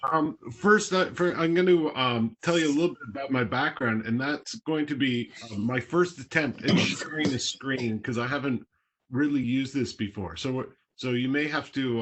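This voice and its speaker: A man's voice: medium pitch, normal speed, fairly bright tone, rough recording. A man from Toronto, Canada, a marine biologist talking casually on a video call, explaining in plain words with a few fillers.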